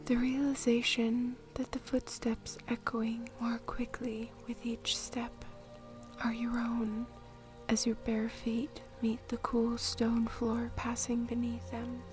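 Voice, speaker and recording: A woman guiding a meditation in a soft, breathy, whispery voice, with a soothing, gentle and measured manner. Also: on the high side, completely flat delivery. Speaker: meditation guide. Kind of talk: guided meditation, soft-spoken narration. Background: music.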